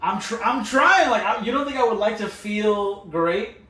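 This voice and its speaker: A man hosting a casual podcast with friends, talking loudly and defensively, his voice jumping up when he protests. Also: high-pitched, expressive, moderate pace. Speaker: podcast host. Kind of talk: casual group podcast banter. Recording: roomy sound from a distant mic.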